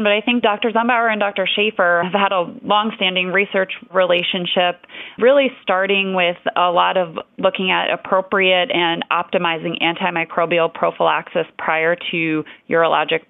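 Casting: woman doctor, earnest explainer, gravelly low voice, dry phone-line sound. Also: fairly even intonation, moderate pace, no room sound. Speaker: physician. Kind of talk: medical podcast interview. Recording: dry tinny phone-like audio.

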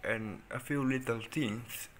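A man's voice: medium pitch, slow, slight background noise, close to an everyday voice. A young man playing a dismissive, plain-spoken country sheriff in an amateur student drama. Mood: matter-of-fact.

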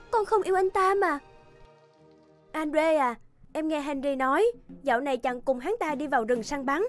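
A tender young fairy-tale maiden voiced by a woman with an extremely high, plaintive voice. She speaks emotionally and theatrically, as in a cartoon, with music behind her. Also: lightly acted. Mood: wounded, coy. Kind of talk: animated fairy-tale dubbing.

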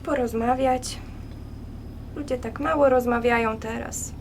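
A woman's voice: fairly high pitch, fairly even intonation, measured pace, slight background noise. A woman playing a grieving, lonely elderly widow who pleads plaintively in a radio play. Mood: grieving, pleading. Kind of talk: radio drama acting.

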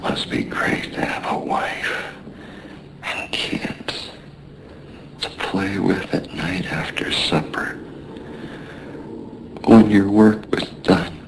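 An actor playing a dying, wistful seasoned sailor in a radio drama, talking haltingly and wearily in a weak, gravelly voice. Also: male voice, heavily acted. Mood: wistful longing. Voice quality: gravelly, creaky. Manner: halting, weary, drifting. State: weak, failing. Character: dying, wistful seasoned American sailor reminiscing to his mate. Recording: noisy radio drama with rumbling ambience.

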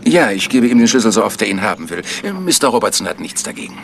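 An actor playing a protective, proud village organ teacher, earnest and measured, in a German radio mystery. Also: male voice, lowish voice, lively intonation, vocal fry, noisy background.

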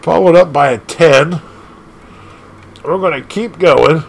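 A man casually commenting on an arcade game as he plays, excitable and laughing often, leaping from squeaky highs to low growls. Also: takes it slowly, vocal fry. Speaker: gaming channel host.

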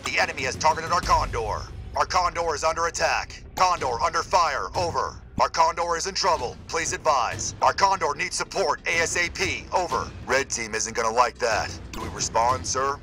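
A brisk, confident military pilot for a video game. His voice sits slightly high and has a bright, forceful edge. He delivers clipped radio callouts to his superiors, with faint music behind him. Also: lively intonation. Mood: alert. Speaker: game voice actor.

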